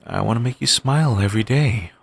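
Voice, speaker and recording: A man playing an obsessive, doting yandere boyfriend in an audio roleplay, talking softly and rambling on with endearments. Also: on the low side, animated, gravelly, husky, heavily acted. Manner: soft, murmuring, slow and rambling endearments.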